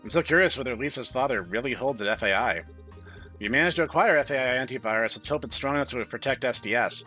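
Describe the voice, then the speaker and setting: A man hosting a gaming playthrough, reading visual novel dialogue aloud in an expressive way. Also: mid-range voice, quick pace, faint music behind. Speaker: gaming channel host.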